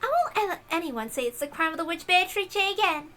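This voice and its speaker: A smug young girl detective played by a woman reader in a visual novel. The voice is piercingly high and bright. She boasts with theatrical devotion, eager to obey her master. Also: lively intonation, brisk, full character voice.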